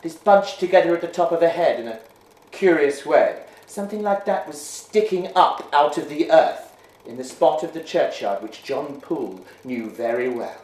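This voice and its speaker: A man giving a measured, hushed dramatic reading of a ghost story. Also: mid-range voice. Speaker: reader. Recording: roomy echo.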